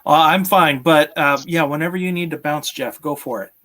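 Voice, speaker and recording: A man's voice: fairly high pitch, normal speed, pushed and bright. A man in his thirties hosting a casual live stream over a video call from home. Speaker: host, game company creator.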